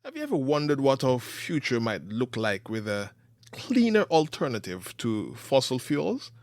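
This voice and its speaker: A man's voice: medium pitch, huge pitch swings, normal speed, darkish tone, dry recording. Guy hosting an interview, earnest, dry close sound. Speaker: host.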